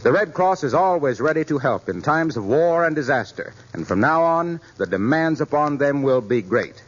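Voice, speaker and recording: A man announcing a 1940s radio show, formal and booming, with earnest appeals to listeners. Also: lively intonation, moderate pace. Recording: muffled 1940s radio broadcast with hiss.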